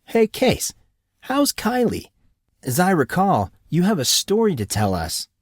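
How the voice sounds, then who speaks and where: A man's voice: high-pitched, huge pitch swings, unhurried, no echo, clean studio sound, voice pushed up, heavily acted. A man voicing a sardonic teenage schoolboy with slangy talk in an audiobook. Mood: teasing. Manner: teasing, slangy. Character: groggy, sardonic teenage boy, new at school.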